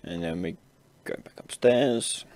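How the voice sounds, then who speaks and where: A man hosting an informal software tutorial, casually explaining each step as he demonstrates it on a close mic. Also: sits low, measured pace. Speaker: tutorial host. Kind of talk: informal screen-recorded software tutorial narration.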